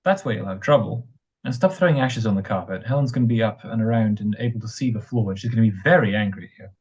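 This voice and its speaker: Man playing a husky, steady ex-soldier pal. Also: fairly low pitch, fast, muffled recording. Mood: firm, frank. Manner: calm, blunt reassurance. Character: steady, reassuring ex-soldier friend, practical and wry. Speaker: amateur radio drama actor. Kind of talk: radio drama acting.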